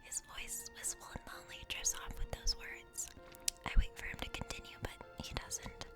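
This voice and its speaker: A woman narrates a dating game story in the first person for ASMR. She speaks in a hushed whisper, with music clearly playing behind her. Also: normal speed. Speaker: ASMR creator reading a game aloud.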